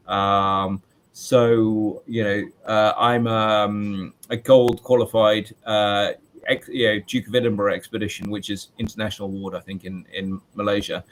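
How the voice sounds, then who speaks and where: A man in his late forties, a teacher, who talks in a loud voice and answers interview questions in a chatty way with many fillers and restarts. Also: fairly low pitch, little pitch movement, unhurried. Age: 40s.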